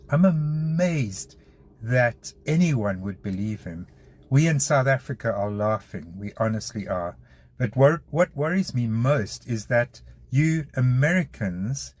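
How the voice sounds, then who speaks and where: A man's voice: medium pitch, darkish tone, no room sound. A man speaking directly to the listener in an exasperated, earnest appeal. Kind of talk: direct address to camera.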